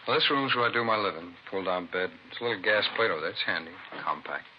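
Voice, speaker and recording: A man's voice: deep voice, lively intonation, quick pace, muffled recording, background noise. A man acting an evasive, defensive murder suspect in a 1950s radio drama, stammering when pressed, on a vintage recording. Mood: casual, evasive.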